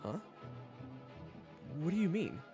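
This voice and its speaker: A man's voice: a little high, sing-song, slow, full character voice. A man playing a nervous, incredulous young adventurer in a fantasy audio drama, casual and easily flustered. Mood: frustrated, incredulous. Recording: roomy sound under loud music.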